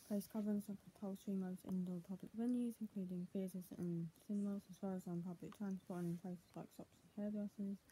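A woman's voice: medium pitch, fairly even intonation, a little fast, few pauses, dark tone. A young adult woman reading news text aloud in a recited way with small stumbles, recorded on a tablet in a small bedroom. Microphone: tablet's built-in mic at arm's length. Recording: clearly noisy, muffled home tablet recording.

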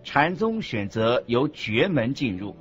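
A man reading scripted religious teaching as a narrator, in a deliberate, instructive manner. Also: medium pitch, big pitch swings. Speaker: narrator of a Buddhist teaching radio program. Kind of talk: scripted didactic narration in a radio program. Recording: muffled lo-fi audio with music underneath. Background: background music.

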